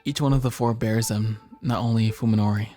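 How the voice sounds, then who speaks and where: A man doing a casual let's play, reading visual novel narration aloud. He is jokey and giggly and trips over the odd word, with soft game music behind him. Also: fairly low pitch, fairly even intonation, brisk. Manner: jokey, giggly, stumbles over read-out text.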